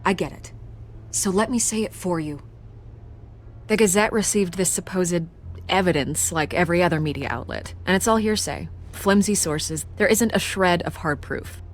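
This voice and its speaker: Low-voiced, persuasive woman reporter played by an actress. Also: normal speed, slight background noise, close to an everyday voice. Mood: persuasive, confident. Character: confident, persuasive female newspaper reporter. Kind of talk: video game voice acting.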